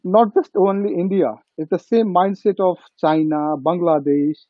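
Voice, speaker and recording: Phone-like thin audio, high-pitched male voice, Nepali accent, halting and repetitive, business discussion. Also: dry recording. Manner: halting, repeats phrases for emphasis. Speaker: business guest.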